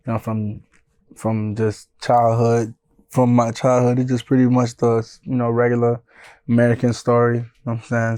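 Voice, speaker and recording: A man in his thirties, born in Haiti and raised in Florida, telling his story as a music manager in a relaxed, rambling interview style. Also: medium pitch, clear, resonant tone, no echo. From born in Haiti, raised in Broward County, Florida. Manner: laid-back, often says "you know what I'm saying".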